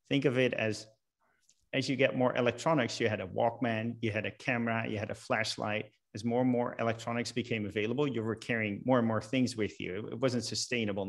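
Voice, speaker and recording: A man with a fairly low voice and plenty of vocal fry. He speaks as a company chief on an earnings call, explaining things plainly, one step at a time. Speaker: company chief answering questions.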